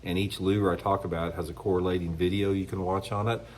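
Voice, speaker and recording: Midwestern man, middle-aged, congested, fairly low voice; casual blunt talk to camera with frequent restarts. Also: flat delivery, fast, darkish tone. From United States. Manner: rambling, blunt, restarts often. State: congested from a cold. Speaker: fishing channel host. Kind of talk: casual opinion monologue to camera.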